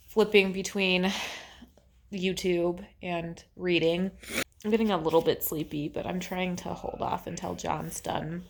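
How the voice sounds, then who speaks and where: Young woman bookish vlogger, slightly low voice, rambly and casual, soft hushed moments. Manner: chatty, rambling, many "like"s and "um"s. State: congested. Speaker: book vlogger. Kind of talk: casual reading vlog chat.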